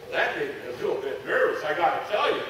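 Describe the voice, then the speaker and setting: A man plays an excitable ancient servant who can barely contain himself, chattering and breathless. The voice sits high and swings widely in pitch. It is caught from far off in a very echoey room. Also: fast talker, a little hiss or hum. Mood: storytelling. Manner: bubbly, breathless, lots of laughter and exclamations. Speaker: actor in a character monologue. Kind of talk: first-person dramatic monologue.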